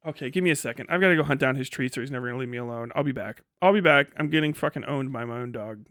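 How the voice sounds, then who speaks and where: A man's voice: mid-range voice, rapid-fire, fairly bright tone, no echo, studio quality. A man hosting a livestream in casual, rambling, sweary chat. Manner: profane, rambling. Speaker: livestream host.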